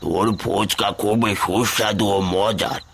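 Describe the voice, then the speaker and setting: A man's voice: lowish voice, steady tone, measured pace, vocal fry, background noise. A man playing a kindly old forest hermit in a cartoon, speaking like a fatherly adviser who gives blessings. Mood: benevolent, solemn. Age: older.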